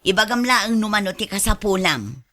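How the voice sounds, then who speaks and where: A woman's voice: medium pitch, lively intonation, normal speed, bright, forceful tone. A woman with a Filipino accent playing a proud, doting middle-aged mother in a radio drama, effusive and boastful.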